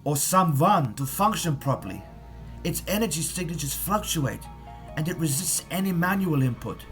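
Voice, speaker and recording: A man's voice: a little high, animated, normal speed, fairly bright tone, faint music behind. A man acting an anxious German rocket scientist who reports deferentially in a fan audio drama. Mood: earnest pleading. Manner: uneasy, earnest, explanatory.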